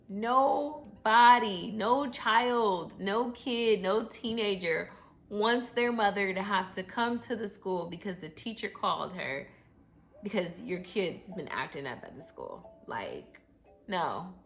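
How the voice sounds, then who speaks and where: A woman in her thirties tells a story from her school days on her vlog, loose and chatty, with plenty of "um" and "like". Her voice sits at a middle pitch, and soft music plays faintly underneath. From Arizona. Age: 30s. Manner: animated storytelling with many fillers. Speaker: vlogger.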